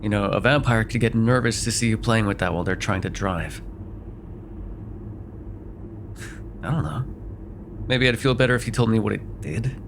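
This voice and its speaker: A man playing a sarcastic vampire with a soft spot in an ASMR roleplay, talking in a teasing, conversational way with sighs and hesitations. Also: on the low side, brisk, background noise, close to an everyday voice. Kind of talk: immersive ASMR roleplay acting.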